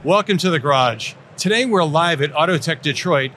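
A man's voice: a little high, lively intonation, moderate pace, over music. A man hosting a casual interview podcast on location at a trade show. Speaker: podcast host. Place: live at an automotive trade show. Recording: noisy trade show floor. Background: clear ambient noise.

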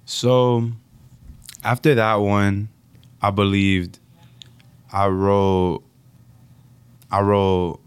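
A young man from the Dominican Republic, a rapper being interviewed, speaking reflectively and haltingly with many fillers. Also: on the low side, flat delivery, clear, resonant tone, no echo. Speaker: rapper guest. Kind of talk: casual interview.

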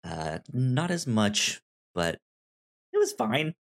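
Male co-host, medium pitch, conversational and hesitant, restarts phrases while reviewing. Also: huge pitch swings, vocal fry. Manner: hesitant, halting, repeats words as he searches for phrasing. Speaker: podcast co-host. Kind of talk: casual conversational book review.

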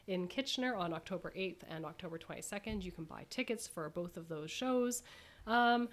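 A woman hosting a conversational interview, speaking haltingly with many ums. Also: fairly low pitch, animated. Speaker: radio host.

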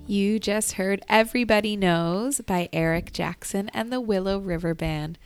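A woman's voice: mid-range voice, a little slow, clear, resonant tone, dry recording, soft background music. A woman co-hosting a radio show, chatting casually and introducing segments and events. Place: on location at a church.

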